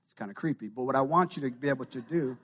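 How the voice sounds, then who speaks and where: A man's voice: mid-range voice, fast, husky. A man with a Jamaican Canadian accent preaching a sermon to his congregation, often repeating and building his phrases. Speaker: pastor.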